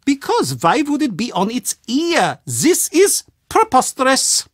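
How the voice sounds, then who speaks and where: A woman's voice: high voice, wildly swooping pitch, a little slow, no room sound, clean studio sound, heavily acted. An actress playing an earnest, proud German woman in a video game, with a German accent and an emphatic, precise delivery. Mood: proudly indignant. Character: German castle-dwelling woman, earnest, animated, proud of board games. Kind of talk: video game character dialogue.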